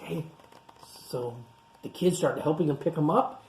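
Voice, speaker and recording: A woman teacher reading a picture book to young children, expressive and pausing to explain things simply in child-directed speech. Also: sits low, a little hiss or hum, rough recording.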